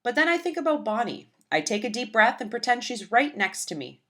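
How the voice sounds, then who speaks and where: A woman voicing an earnest, shy young schoolgirl who narrates her own storybook tale with deliberate, childlike phrasing. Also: a little high, very animated, a bit bright. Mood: nervous, then proud.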